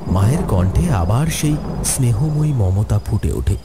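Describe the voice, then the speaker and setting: Expressive man narrating a ghost story. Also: fairly low pitch, a little slow, background noise. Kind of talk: scripted dramatic horror story narration.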